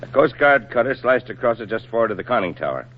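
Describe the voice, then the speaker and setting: An actor playing a stern, middle-aged Navy admiral in a 1940s radio drama, speaking in a formal, measured, authoritative way. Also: male voice, mid-range voice, very animated, lightly acted. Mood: grave, businesslike. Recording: muffled, noisy 1940s radio recording.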